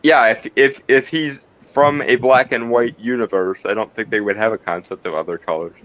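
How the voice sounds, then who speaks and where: A man's voice: mid-range voice, fairly even intonation, normal speed, a bit bright, lo-fi sound. A man in casual tabletop game chat, speaking in a wry, wordy and hesitant way. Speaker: roleplay game participant.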